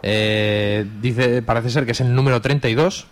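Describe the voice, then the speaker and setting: A man's voice: mid-range voice, fairly even intonation, normal speed, a little hiss or hum. A man with an accent from Spain, hosting a radio show in a casual, unscripted, colloquial way. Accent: Spanish from Spain. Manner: informal, colloquial. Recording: music playing behind the voice. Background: background music.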